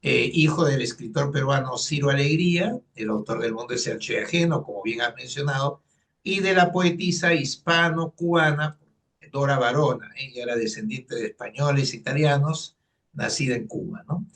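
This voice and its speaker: A man's voice: medium pitch, moderate pace, a bit bright, recorded from a distance. A man in his sixties from Lima with a Peruvian accent, an economist telling his life story in an interview with many fillers. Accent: Peruvian Spanish, Lima. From Peru. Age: 60s. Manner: earnest, storytelling, frequent fillers. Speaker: economist, guest. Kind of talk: personal interview.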